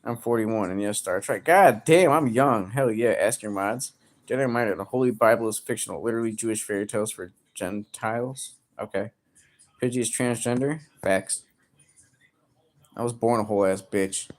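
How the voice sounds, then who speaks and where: A man's voice: on the low side, normal speed. Profane joking man in his thirties. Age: 30s. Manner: profane, joking, loud outbursts. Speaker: livestreamer reading chat. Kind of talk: casual crude livestream chat.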